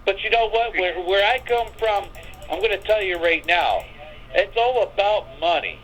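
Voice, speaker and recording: Insistent, bright-voiced American man. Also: high-pitched, lively intonation, moderate pace, soft background music, rough recording. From Erie, Pennsylvania, United States. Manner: insistent, repeats himself, blunt. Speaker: caller. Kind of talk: casual heated chat on a live stream.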